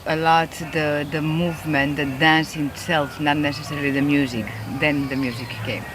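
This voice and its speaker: A man's voice: fairly high pitch, little pitch movement, normal speed, noisy background. A man interpreting in conversation, sentence by sentence.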